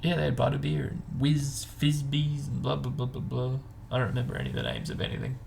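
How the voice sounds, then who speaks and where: A man's voice: medium pitch, fast talker, gravelly, darkish tone, a little hiss or hum. A man chatting casually as host of a book discussion, talking in an informal, meandering way as he explains and speculates.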